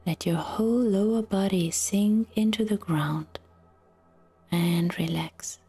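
Very slow, breathy woman guiding relaxation. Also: mid-range voice, soft background music. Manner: soft, soothing, breathy, half-whispered delivery with long pauses. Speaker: yoga nidra guide. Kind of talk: guided relaxation meditation.

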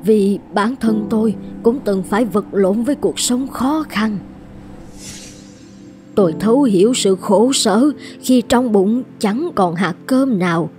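A woman voicing a kindly, humble elderly shop owner in a cartoon, gently protesting. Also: mid-range voice, close to an everyday voice. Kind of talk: cartoon dubbing.

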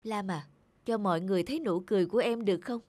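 A woman voicing a gentle, guilt-ridden young man in an animated cartoon, speaking softly and earnestly. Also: mid-range voice, quick pace, no echo, lightly acted. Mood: pleading.

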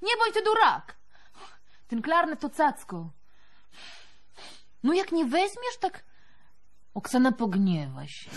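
Flirty brash brothel lady, really swoopy high voice, radio play. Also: huge pitch swings, slow, pauses often, full character voice. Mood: playful, coaxing. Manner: coquettish, brash, colloquial. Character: bold, teasing, flirtatious brothel woman with earthy humour. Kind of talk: radio drama acting.

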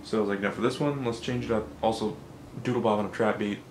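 Low, monotone young man vlogging. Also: fast talker, roomy sound, background noise. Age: young adult. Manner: casual, self-critical, swears often. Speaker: rap battle creator. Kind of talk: casual behind-the-scenes vlog. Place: small bedroom. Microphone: studio condenser mic.

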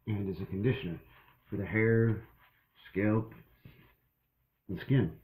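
A man's voice: fairly low pitch, takes it slowly, narrow, tinny audio. A young man rambling casually to a webcam in a small bathroom, with many fillers and restarts. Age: young adult. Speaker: shaving vlogger. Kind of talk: casual talk to camera. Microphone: webcam at arm's length. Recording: echoey small room.

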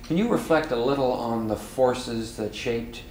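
A middle-aged man putting formal interview questions in a measured, deliberate way. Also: mid-range voice, darkish tone. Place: large wood-panelled room with glass walls, indoors. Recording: roomy sound, faint background noise.

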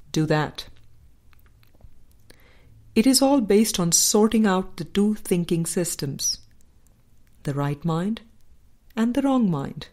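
A woman narrating a spiritual book aloud in a measured, deliberate way, pausing between phrases. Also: lowish voice, warm tone. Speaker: audiobook narrator.

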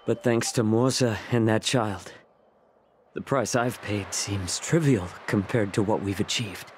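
A man voicing a weary, gentle man with failing eyes in game dialogue, speaking calmly. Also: on the low side, unhurried, a little hiss or hum, natural voice.

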